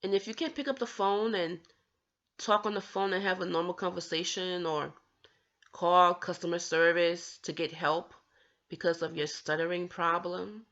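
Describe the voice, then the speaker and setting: A woman speaks slowly and earnestly to her viewers, her voice gravelly yet bright. She stumbles and restarts on a word now and then but keeps an encouraging tone. The recording sounds dull and lo-fi. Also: fairly low pitch, steady tone. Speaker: vlogger who stutters. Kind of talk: personal talk to camera.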